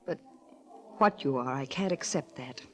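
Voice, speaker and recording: An actress playing a proud, virtuous young mission worker, measured and earnest, in an old-time radio melodrama. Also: female voice, rumbling low. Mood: bitter, wounded.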